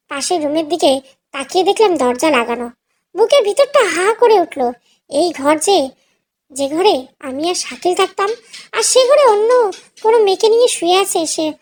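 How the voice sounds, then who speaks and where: A woman with an extremely high voice narrates an emotional story in the first person. Her delivery is scripted, dramatic and sorrowful. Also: lively intonation, normal speed, darkish tone.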